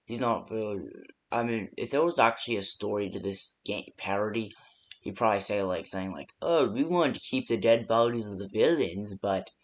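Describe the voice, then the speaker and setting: A man's voice: on the low side, normal speed. A man giving casual, improvised phone-call voice lines for a game, hesitant and rambling with many ums. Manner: casual, unpolished delivery. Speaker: phone-call guide in a fan horror game.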